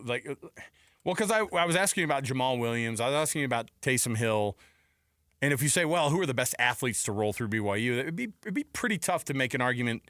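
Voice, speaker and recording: A man's voice: medium pitch, fairly bright tone, no echo, clean studio sound. A man co-hosting a casual sports talk show, conversational and repeating phrases for emphasis.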